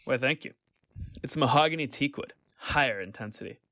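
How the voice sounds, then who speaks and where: An actor playing an eerily welcoming, unctuous corporate job interviewer in a radio play. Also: male voice, mid-range voice, brisk, bright, forceful tone. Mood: smugly welcoming.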